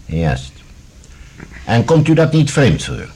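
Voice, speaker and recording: A man acting a formal, authoritative general in a science-fiction radio drama, with a husky voice and a deliberate, questioning manner. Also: mid-range voice, huge pitch swings, darkish tone, exaggerated voice. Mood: probing, measured. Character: authoritative male general, probing and measured questioner.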